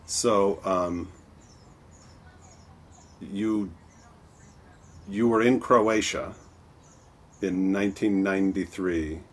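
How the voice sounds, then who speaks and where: Low-voiced male podcast host. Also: fairly even intonation, drawn-out words, long pauses. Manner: stammers and repeats when asking questions. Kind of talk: interview host, scripted intro then chat.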